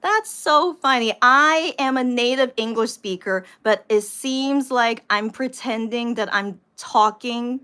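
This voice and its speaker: An American woman hosting a casual livestream, rambling to viewers and repeating herself with animated emphasis, sounding somewhat tired. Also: a little high, takes it slowly, hardly any pauses, smooth. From United States. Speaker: livestream host, vlogger.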